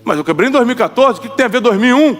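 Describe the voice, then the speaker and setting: Male preacher in his forties, emphatic and high-pitched, long hall reverb with faint music under. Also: expressive, racing, fairly bright tone. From Rio de Janeiro, Brazil. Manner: emphatic, repeats lines for the audience to echo. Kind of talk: sermon.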